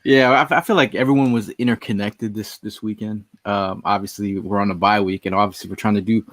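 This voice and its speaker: A man's voice: lowish voice, steady tone, fairly quick, dry recording. A man co-hosting a sports podcast, talking in a laid-back, rambling way. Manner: laid-back, rambling, says "you know" often. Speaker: podcast co-host. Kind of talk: casual sports chat.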